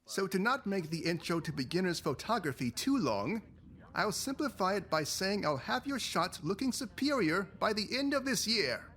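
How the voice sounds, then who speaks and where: Unctuous, creepy photography teacher, swooping intonation. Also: male voice, high voice, big pitch swings, normal speed, fairly bright tone. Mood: falsely cheerful. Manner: theatrical, overly polite, unctuous. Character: creepy adult male photography teacher, falsely genteel, unctuous. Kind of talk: scripted visual novel voice acting.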